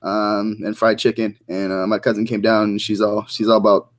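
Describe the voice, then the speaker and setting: A man's voice: lowish voice, level tone, normal speed. A man appearing as a podcast guest, telling casual, rambling stories and laughing often. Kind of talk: casual chat.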